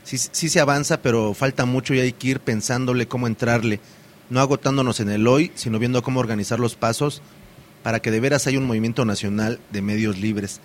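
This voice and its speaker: A man's voice: medium pitch, fairly even intonation, a little fast, a little hiss or hum. A man hosting a community radio program, talking in a loose, hesitant way with many fillers and restarts.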